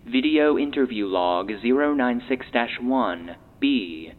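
Man as a slow, impassive database announcer, tinny. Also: medium pitch, lively intonation. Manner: measured, clipped, procedural. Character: impassive database announcer reading classified logs. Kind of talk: formal scripted audio play narration. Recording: lo-fi phone-like filter with faint noise.

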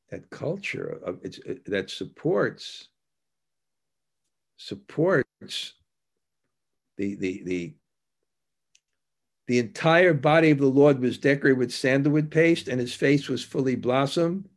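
Male spiritual teacher, gravelly fry in the voice, reflective and halting, conversational storytelling delivery for a devotional discourse. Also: medium pitch. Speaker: devotional teacher giving a religious talk. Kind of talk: anecdotal spiritual discourse, storytelling between scripture readings.

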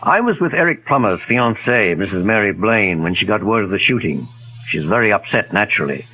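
An actor playing a calm, polite, inquisitive gentleman detective in a 1940s radio drama. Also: on the low side, fairly quick, soft background music, rough recording. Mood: probing, courteous. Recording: old 1940s radio recording.

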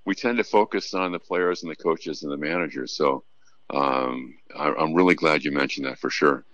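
A man's voice: extremely low pitch, normal speed, fairly bright tone, dry recording, dull audio. A man who works as a radio play-by-play announcer, speaking earnestly as a guest in a conversational sports interview.

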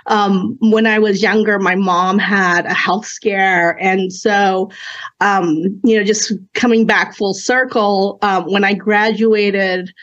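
A woman in her thirties, Cantonese her first language, talks through her path as a podcast guest. She pauses often, circling her thoughts with um and you know. The sound is slightly dull and lo-fi. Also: medium pitch, steady tone, fairly bright tone. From China. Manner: reflective, many fillers and restarts. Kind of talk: conversational interview.

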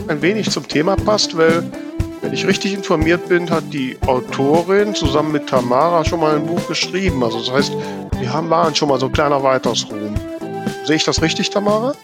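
Man hosting over loud music. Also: fairly high pitch, a little fast. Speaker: podcast host. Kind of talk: casual podcast presenting.

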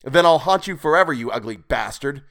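A man's voice: medium pitch, sing-song, moderate pace, fairly bright tone. Guy doing a ticked-off sarcastic ghost man in an audiobook, brash, voice jumping up and down. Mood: defiant. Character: defiant, sarcastic man trapped as an underwater ghost. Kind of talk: dramatised audiobook character voice.

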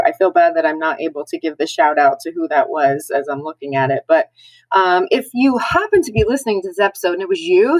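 A woman's voice: deep voice, no echo, poor audio. An American woman chatting casually as a podcast co-host. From New Jersey, United States. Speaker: podcast co-host, dental hygienist.

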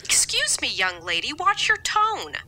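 A woman's voice: fairly high pitch, sing-song, normal speed, pushed and bright, heavily acted. A woman voicing a controlling middle-aged mother in an audio drama, scolding and coddling in a sing-song way. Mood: fussing.

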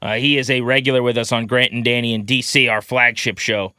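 A man's voice: mid-range voice, steady tone, fairly quick, bright, forceful tone, clean tone, dry recording, clean studio sound. A man hosting a sports talk show, presenting segments and interviewing guests in an upbeat radio style.

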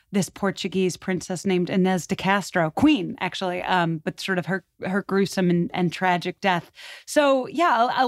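A woman's voice: mid-range voice, no room sound, clean studio sound. A woman podcaster talking in a casual, conversational way. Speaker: podcast host and guest.

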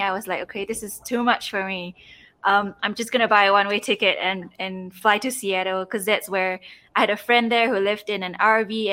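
A young woman from Singapore, interviewed as a travel blogger guest. Her voice is bright and clear, and her tone is easygoing. She fills her talk with "um" and "like" as she goes. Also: medium pitch. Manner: relaxed, with many fillers like "um" and "like". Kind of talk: casual interview chat.

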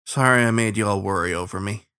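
A man voicing a quiet, guarded ferret riverman in a storybook, with deliberate, rustic speech. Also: fairly low pitch, smooth. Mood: bashful.

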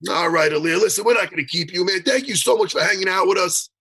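A male interview host with a gravelly, husky voice, bright and a little high. He talks in an eager, rambling way with casual swearing and lots of asides. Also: quick pace.

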